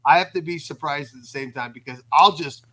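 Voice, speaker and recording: Bright, higher-pitched man stammering through recap chat. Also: fairly quick. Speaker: co-host.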